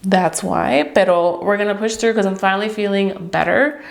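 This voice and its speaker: A woman's voice: medium pitch, normal speed, creaky. A young woman reviewing beauty products to camera in a chatty, upbeat way, her voice stuffy and congested from a cold. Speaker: beauty vlogger.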